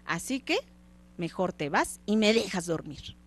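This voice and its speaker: A woman's voice: mid-range voice, animated, measured pace. Woman doing a cranky old miser dude brushing off a ghost, scoffing. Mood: annoyed. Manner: scoffing, dismissive. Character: grumpy old miser, man, dismissive.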